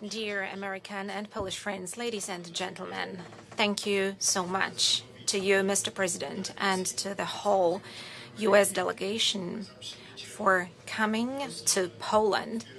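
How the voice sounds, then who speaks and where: A woman interprets a formal speech into English at a steady, measured pace. Her delivery keeps to stately courtesy phrases. Her voice sits at an ordinary middle pitch. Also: gravelly.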